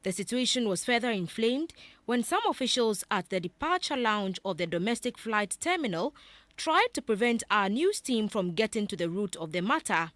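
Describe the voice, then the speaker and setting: Ghanaian woman reporter, lively news delivery. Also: mid-range voice, normal speed, dry recording. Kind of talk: scripted news narration.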